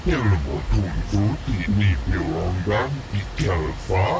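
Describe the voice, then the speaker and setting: Growly gravelly alien for a game, super slow, pitch swinging around, noisy background. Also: male voice, animated, exaggerated voice. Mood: gruff, halting. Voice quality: gravelly, guttural. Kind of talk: video game cutscene acting. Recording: clear ambient noise behind the voice.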